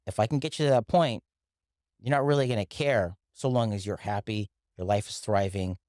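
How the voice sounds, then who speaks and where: A man's voice: lowish voice, moderate pace, darkish tone, dry recording, clean studio sound. A middle-aged man from the Philippines, a wellness coach speaking earnestly as an interview guest. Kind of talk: reflective interview talk.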